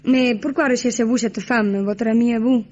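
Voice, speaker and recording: An actress playing a flamboyant, dramatic Argentine cabaret singer who is quick to anger, in an old radio drama. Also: female voice, a little high, steady tone, a little mellow, close to an everyday voice. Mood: fiery. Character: fiery, theatrical Argentine cabaret singer, female. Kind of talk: 1950s radio drama acting. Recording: dull old radio broadcast.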